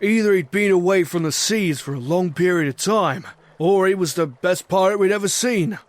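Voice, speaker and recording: A man's voice: sits high, moderate pace, dry recording, lightly acted. A man voicing a swashbuckling pirate captain who tells his sea tales in salty, theatrical pirate talk. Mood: reflective storytelling. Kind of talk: dramatic scripted storytelling with voice acting.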